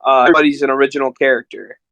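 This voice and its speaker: A man's voice: medium pitch, fairly even intonation, very fast, vocal fry, a bit bright, no room sound, low-quality recording. A man chatting casually as a podcast guest, telling stories in a rambling, enthusiastic way. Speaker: podcast guest and amateur voice actor. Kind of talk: casual chat.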